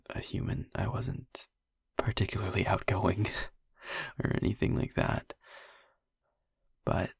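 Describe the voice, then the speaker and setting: A man playing a gentle vampire boyfriend in an intimate audio roleplay, confiding a painful past in a soft, halting way. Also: no room sound, clean studio sound, full character voice. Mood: heavy, subdued. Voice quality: husky, gravelly, breathy low voice.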